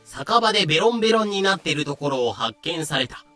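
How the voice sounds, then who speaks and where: A man's voice: a little high, animated, fairly quick, pushed and bright, faint music behind. A man playing the narrator of a pirate tale, announcing scenes in scripted narration. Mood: storytelling.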